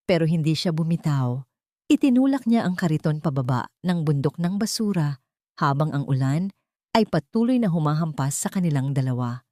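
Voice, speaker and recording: A woman's voice: deep voice, expressive, moderate pace, dark tone, no room sound, clean studio sound. A woman narrating a dramatic story, her voice rising with emotion at tense moments.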